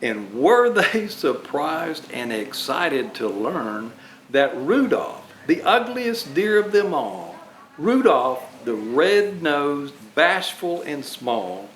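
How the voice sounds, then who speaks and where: A man reading a rhyming children's Christmas story aloud with dramatic, deliberate phrasing. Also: on the high side, very animated, fairly bright tone. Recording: roomy echo, faint background noise.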